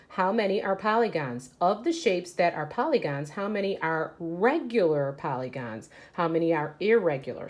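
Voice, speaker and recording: A woman teacher reading aloud to children and explaining as she goes, often asking questions and answering them herself. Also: low voice. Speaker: elementary school teacher. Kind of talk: read-aloud lesson for children.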